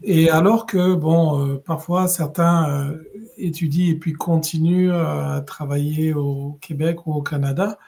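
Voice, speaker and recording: A middle-aged man from West Africa, a podcast guest, telling his story in a measured, thoughtful way with many pauses and restarts. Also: fairly high pitch, fairly even intonation, vocal fry, warm tone. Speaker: guest, regional director. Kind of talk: conversational podcast interview.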